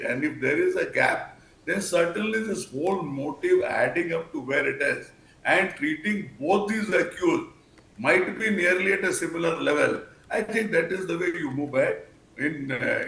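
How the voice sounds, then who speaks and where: An older man with an Indian English accent giving formal, measured, wordy commentary as a news panel expert. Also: fairly high pitch, vocal fry, fairly bright tone. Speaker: expert guest, former senior police officer. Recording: echoey room, faint background noise.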